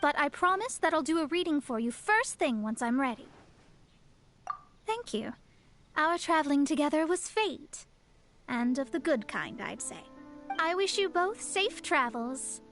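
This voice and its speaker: A woman's voice: sits high, sing-song, a little slow, a bit bright, faint music behind, heavily acted. A woman playing a proud young female astrologer in a video game who is poised but easily flustered.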